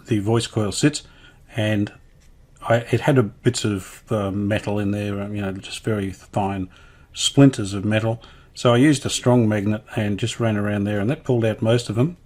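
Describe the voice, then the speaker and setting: Low-voiced man talking through a repair. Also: steady tone, darkish tone. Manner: thinking aloud, tentative and unhurried. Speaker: radio restorer presenting a repair series. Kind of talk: casual hands-on restoration commentary.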